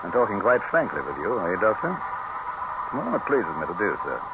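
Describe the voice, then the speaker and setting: Pompous, low-voiced dictator, old radio. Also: male voice, sing-song, a little fast. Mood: grandly self-assured. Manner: pompous, condescending, savoring his phrases. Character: pompous, imperious male dictator, amused and condescending. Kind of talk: 1930s radio drama acting. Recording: muffled, noisy 1930s radio recording.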